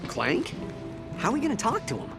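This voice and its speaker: A plucky young alien hero for a video game. His voice is high and very animated, with wry, incredulous sarcasm and music behind. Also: brisk, vocal fry, full character voice. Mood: concerned.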